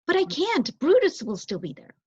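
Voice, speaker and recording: A woman's voice: very high-pitched, huge pitch swings, normal speed, darkish tone, voice pushed up, exaggerated voice. Lady doing a timid little mouse mom from a storybook, polite n pleading, muffled. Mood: anxious. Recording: roomy echo, dull muffled sound.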